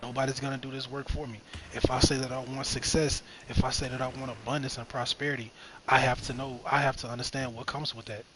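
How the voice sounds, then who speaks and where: A man's voice: medium pitch, little pitch movement, fast. Young male streamer, rambling spiritual musings mid-game, filler-heavy, noisy recording. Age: 20s. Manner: rambling, repeats phrases, many "you know what I mean" fillers. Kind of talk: reflective spiritual talk while gaming. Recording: noticeable background noise.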